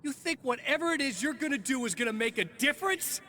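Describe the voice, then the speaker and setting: An actor playing a furious man in a horror game, shouting in a strained voice. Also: very high voice, lively intonation, fast talker, slight background noise, full character voice. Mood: defiant.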